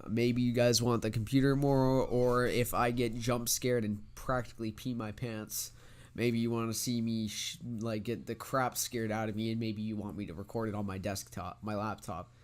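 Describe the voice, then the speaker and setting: Young male gaming vlogger, mid-pitched, nasal from a cold, loose and rambling delivery. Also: clear, resonant tone. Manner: rambling, many fillers and restarts. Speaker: gaming channel host. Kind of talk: casual vlog chat. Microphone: USB desk mic with pop filter.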